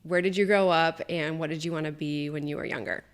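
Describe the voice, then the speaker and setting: A woman's voice: sits low, steady tone, brisk. A woman hosting a podcast who asks chatty questions full of "like". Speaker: podcast host. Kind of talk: casual interview.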